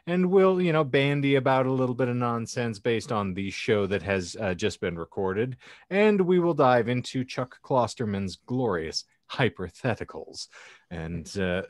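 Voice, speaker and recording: A man's voice: medium pitch, lively intonation, measured pace, no echo, studio quality. A man hosting a casual podcast with wry banter, speaking in a wordy, mock-formal way. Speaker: podcast host.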